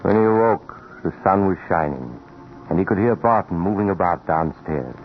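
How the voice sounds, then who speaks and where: A man's voice: low-pitched, expressive, moderate pace. A man acting as an ominous storyteller, giving scripted narration in 1940s radio suspense style. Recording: muffled, noisy 1940s radio recording.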